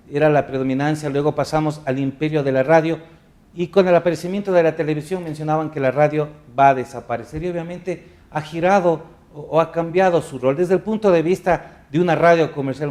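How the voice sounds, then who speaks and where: A man's voice: fairly high pitch, a little mellow. A man hosting a TV panel, formal and welcoming as he introduces guests and poses questions. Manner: formal, welcoming, slips on a name. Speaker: TV talk show host.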